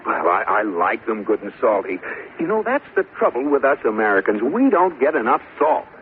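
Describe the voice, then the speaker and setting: Actor as a flustered husband, swooping animated voice. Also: male voice, mid-range voice, fairly quick, lightly acted. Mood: flustered reassurance. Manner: stammering, coaxing, exasperated. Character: flustered, placating young banker husband. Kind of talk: 1950s radio sitcom acting. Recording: noisy, narrow old radio broadcast.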